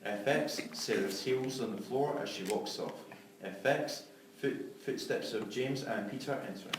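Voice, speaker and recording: A man's voice: medium pitch, a little slow. A man reading scene headings and sound-effect directions aloud from a script. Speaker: stage directions reader. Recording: echoey room, far from mic, faint noise.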